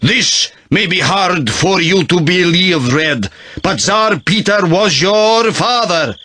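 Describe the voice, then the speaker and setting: An actor playing a solemn priest in a video game cutscene, telling his story in a grave, measured manner. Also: sits high, expressive, sharp tone, exaggerated voice. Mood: solemn, earnest. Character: solemn priest revealing a secret royal heritage. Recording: music behind the voice. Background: music.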